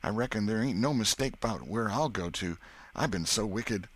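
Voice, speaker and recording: A man voicing an excitable, superstitious, self-pitying schoolboy in a rural Southern American dialect for an audiobook. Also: lowish voice, normal speed, pitched above the performer's own voice. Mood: wailing remorse. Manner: folksy dialect, exclaiming and whispering. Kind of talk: audiobook character acting.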